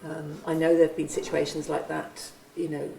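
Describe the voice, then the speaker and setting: A woman earnestly recounting her experience of hosting, pausing and restarting as she goes. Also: low voice, flat delivery, gravelly, warm tone, a little hiss or hum. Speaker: church member and refugee host. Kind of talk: reflective testimony. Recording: roomy, from a distance.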